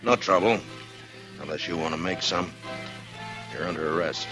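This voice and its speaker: An actor playing a calm, tough frontier marshal in a 1950s radio drama, dry and clipped. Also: male voice, low-pitched, normal speed, music in the background, close to an everyday voice. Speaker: town marshal. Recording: old radio broadcast.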